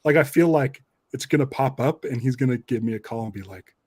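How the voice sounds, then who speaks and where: A man's voice: medium pitch, expressive, a little fast, darkish tone, breathy edge, no room sound. A man hosting a casual podcast, talking in a rambling way and often repeating and restarting his phrases. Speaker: podcast host and documentary maker.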